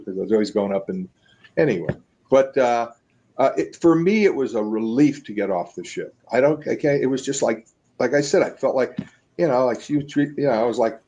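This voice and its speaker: A man's voice: medium pitch, dark tone. Rambling older man on a video call. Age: 70s. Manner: rambling, halting with many restarts. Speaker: guest. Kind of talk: casual storytelling. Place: home room.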